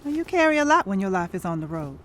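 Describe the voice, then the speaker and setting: A woman's voice: medium pitch, big pitch swings, fast, fairly bright tone, a little hiss or hum. A woman with an American accent playing a world-weary, defensive touring performer in an audio drama, speaking in a folksy, dismissive way. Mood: relaxed, playful. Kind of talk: naturalistic audio drama acting.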